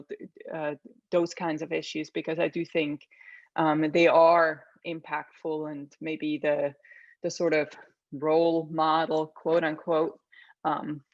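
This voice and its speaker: A woman from Switzerland answers a panel question over a video call, her voice set low. She hedges and circles with fillers and "right?" as she builds her point. The line is dry and dull, like compressed online call audio. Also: no room sound. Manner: hesitant, heavy use of fillers and tag questions.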